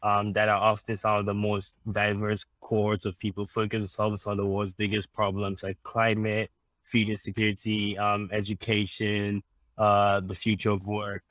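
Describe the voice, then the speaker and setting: A man from Ghana, an impact investor, answering interview questions in a measured, explanatory way with frequent ums and restarts. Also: fairly low pitch, monotone, a bit bright, no echo.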